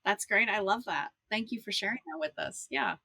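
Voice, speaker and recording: A woman's voice: mid-range voice, expressive, moderate pace, bright, forceful tone, dry recording, clean studio sound. A woman hosting a podcast interview, upbeat and affirming as she wraps up with her guest. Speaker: podcast host.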